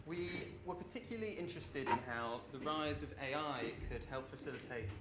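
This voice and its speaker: A man's voice: mid-range voice, fairly quick, reverberant, heavy background noise. A man speaking as creative director on a conference panel, explaining a concept. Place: conference stage.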